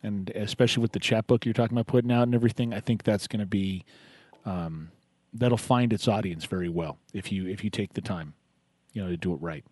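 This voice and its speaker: Encouraging low-voiced male radio host. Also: a little fast, darkish tone, no room sound. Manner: encouraging, rambling with restarts. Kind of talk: casual on-air chat with a caller.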